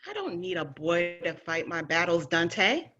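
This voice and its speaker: A stubborn, feisty young woman scout played by an actress in a sci-fi drama read. Her voice is on the lower side and sassy, captured a bit muffled. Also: very animated, measured pace, fairly bright tone, exaggerated voice. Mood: irritated. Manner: defiant, sassy.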